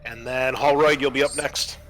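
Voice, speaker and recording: A man's voice: medium pitch, steady tone, moderate pace, a bit bright, a little hiss or hum. A man acting as game master in a tabletop roleplaying game, explaining rules and narrating what the players' characters do.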